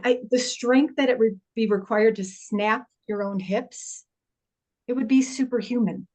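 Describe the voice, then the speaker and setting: A woman's voice: on the high side, darkish tone, natural voice, rough recording. A woman playing a middle-aged forensic investigator at a film table read, matter-of-fact and wry, with a hesitant conversational delivery. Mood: wry, amazed. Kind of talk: actor's table read of an indie horror film.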